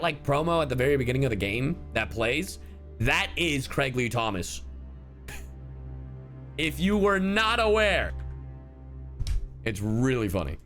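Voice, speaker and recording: A man's voice: medium pitch, pushed and bright. A man doing live game reaction commentary, swearing freely and bantering in exclamations. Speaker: streamer and voice actor. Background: game music.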